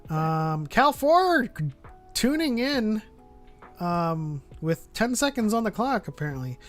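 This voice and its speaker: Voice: mid-range voice, very animated, takes it slowly, faint music behind. A podcast host chatting casually in a fan discussion. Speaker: podcast host reading viewer chat.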